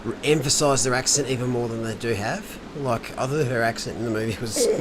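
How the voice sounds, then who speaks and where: A man's voice: medium pitch, a little fast. An Australian man commentating a sim race, chatting casually and telling rambling, jokey stories. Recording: noisy, with background hum.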